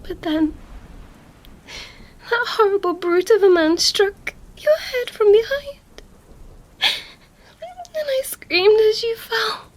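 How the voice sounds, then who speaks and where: A woman playing a young princess, commanding yet tender and distraught, in an emotional ASMR roleplay monologue. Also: very high-pitched. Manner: hushed, breathy, halting, emotional delivery.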